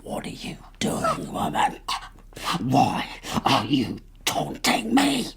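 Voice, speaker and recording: An actor playing a secretive, volatile English husband in a radio drama, with a theatrical manner and grandiose tastes. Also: male voice, mid-range voice, wildly swooping pitch, takes it slowly, gravelly, fairly bright tone, in a whisper, exaggerated voice. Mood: agitated, suspicious. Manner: theatrical, volatile, given to nervous laughter.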